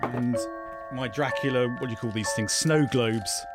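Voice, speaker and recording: A British man, a geography professor, explaining informally in an interview, piecing his phrases together with pauses. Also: mid-range voice, over loud music. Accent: British English.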